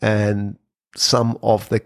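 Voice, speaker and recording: A man's voice: fairly low pitch, monotone, drawn-out words, no room sound, clean studio sound. A man hosting his own podcast, explaining points step by step in a conversational way that often hedges with "sort of". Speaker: podcast host. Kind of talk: solo podcast commentary.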